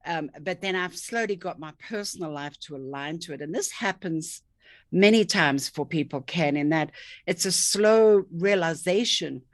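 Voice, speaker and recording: Lady coach, low voice, dry close sound, reflective and earnest. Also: normal speed, dry recording. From South Africa. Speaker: guest, thinking coach. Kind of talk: interview over video call. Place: home office, indoors. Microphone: video call webcam.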